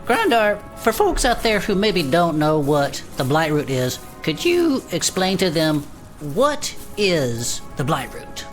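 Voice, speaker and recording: Folksy, lively, low-pitched adventurer. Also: female voice, slow pace, soft background music. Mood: excited, welcoming. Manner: folksy, colloquial, excitable. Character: eager, folksy, protective former adventurer in a fantasy world. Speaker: interviewer. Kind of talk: immersive fantasy audio drama acting.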